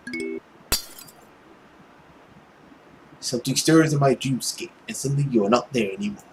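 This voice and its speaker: A man voicing a brooding, long-lived, loveless man, narrating a visual novel in a grave, dramatic and measured way. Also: mid-range voice, natural voice, poor audio. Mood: wistful, brooding.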